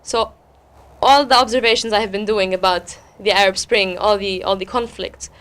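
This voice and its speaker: A woman's voice: medium pitch, fairly even intonation, moderate pace, fairly bright tone. A woman who is an interview guest and poet, speaking expressively and repeating words for weight. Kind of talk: interview talk and spoken-word poetry recital.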